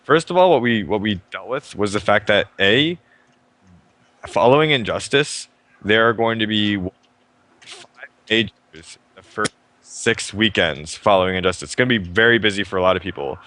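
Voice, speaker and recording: A young man hosting a live stream show, talking fast and upbeat about a fighting game and often restarting his sentences. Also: on the low side, lively intonation, a bit bright. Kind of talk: casual game commentary.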